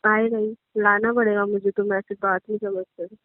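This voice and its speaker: A woman's voice: mid-range voice, flat delivery, moderate pace, dry recording, close to an everyday voice. A woman playing an insistent, scolding newlywed wife in a naturalistic audio drama argument. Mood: firm, demanding. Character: insistent, suspicious newlywed wife demanding not to be blocked. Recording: tinny, dry phone-call sound.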